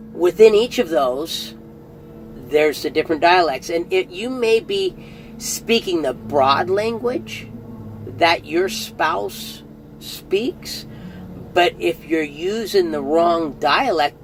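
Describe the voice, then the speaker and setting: A man's voice: on the high side, a little hiss or hum, rough recording. A middle-aged man giving a casual, earnest spoken review, chatty and often restarting phrases. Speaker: audiobook reviewer.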